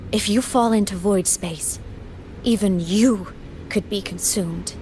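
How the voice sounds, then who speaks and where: A woman's voice: medium pitch, slow, warm tone, natural voice, rough recording. A woman playing an earnest, caring, solemn young companion in an anime game. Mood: stern, worried. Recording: game livestream capture, faint noise.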